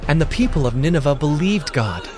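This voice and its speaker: Brief: male narrator, slightly high, very lively intonation, scripted scripture reading. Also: fairly quick, faint music behind.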